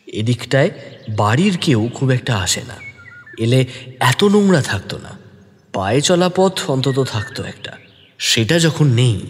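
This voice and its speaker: A man narrating a detective story in the first person, expressive and deliberate, also speaking the detective's lines. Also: medium pitch, long reverb tail. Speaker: narrator who also voices the young detective.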